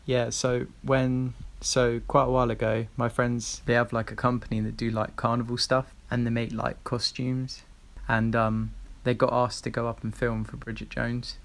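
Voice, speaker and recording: A man's voice: mid-range voice, normal speed, creaky. A man with an English accent hosting a casual video-call chat, telling stories loosely with lots of fillers. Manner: relaxed, chatty, lots of fillers. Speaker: show host and singer.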